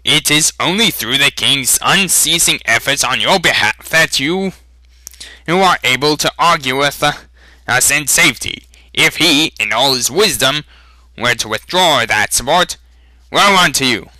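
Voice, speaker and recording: Casting: a man as a fat, pompous fantasy traitor, smooth persuader, sharp bright tone, slightly raised voice, dry sound. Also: medium pitch, expressive, measured pace, dry recording, pitched above the performer's own voice, poor audio. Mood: pleading, pompous. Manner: smooth-talking, pleading, emphatic.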